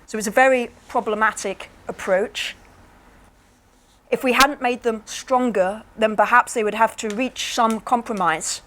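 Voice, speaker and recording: A woman's voice: a little high, steady tone, unhurried, fairly bright tone, slight background noise. A woman speaking as an invited speaker, answering audience questions in a reflective, plain-spoken, explanatory way. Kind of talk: measured Q&A answers.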